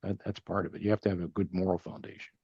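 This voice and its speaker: A man's voice: deep voice, little pitch movement, rapid-fire, dark tone, slightly rough, no echo. Earnest older man, dry dull audio. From Texas. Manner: earnest, rambling with many restarts. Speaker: podcast guest, family banking partner. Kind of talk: casual interview conversation.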